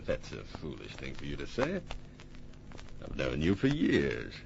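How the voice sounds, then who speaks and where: A cold-blooded gentleman villain with a very low voice that swings widely in pitch. He is polite and menacing, taking his time over each threat, in a vintage radio crime play with dull, hissy sound. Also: huge pitch swings, slow pace, creaky, heavily acted. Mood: smooth, guarded. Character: suave, cold-blooded murderous impostor twin with a gun. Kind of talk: old-time radio crime drama acting. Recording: muffled, noisy old radio recording.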